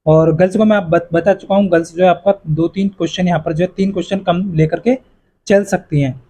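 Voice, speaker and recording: High, mellow-voiced man explaining exam cutoffs. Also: steady tone, fairly quick. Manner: repetitive, fills with "yahan par" and "jo hai"; addresses viewers as friends, reassuring. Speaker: exam coaching YouTuber. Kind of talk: casual explainer to students.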